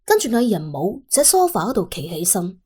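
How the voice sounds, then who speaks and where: A woman's voice: mid-range voice, sing-song, fairly quick, darkish tone, husky. A woman with a Cantonese accent narrating a story in a colloquial, dramatic storytelling manner. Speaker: audiobook narrator.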